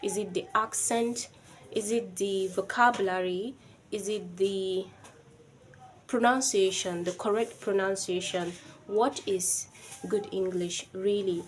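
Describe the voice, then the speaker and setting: A woman with a Nigerian accent speaks to camera in a reflective, earnest way. Her first language is Yoruba. Her voice sits at a middling pitch, and a faint noise hums behind her. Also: steady tone, takes it slowly, low-quality recording. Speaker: vlogger. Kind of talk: personal video talk to camera.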